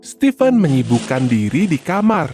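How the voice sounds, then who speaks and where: A storyteller narrating a scripted children's tale in an expressive, deliberate manner. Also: medium pitch, soft background music.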